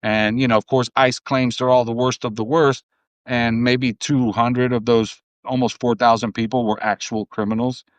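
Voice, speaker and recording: A man from New Jersey talking politics in a casual chat, steady and full of "you know". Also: medium pitch, moderate pace, no echo. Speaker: guest.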